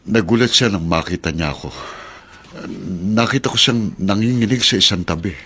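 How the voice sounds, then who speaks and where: A man's voice: on the low side, moderate pace, a bit bright, slightly rough. A man playing a concerned, protective fatherly figure with a Filipino accent in a radio drama, speaking earnestly and solicitously. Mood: worried, subdued. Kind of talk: Philippine radio drama acting.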